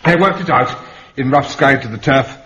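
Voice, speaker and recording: A man playing an odd, bookish gentleman in a radio drama, with an abrupt, halting delivery. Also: mid-range voice, a little hiss or hum, close to an everyday voice. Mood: flustered. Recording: dull lo-fi radio drama recording.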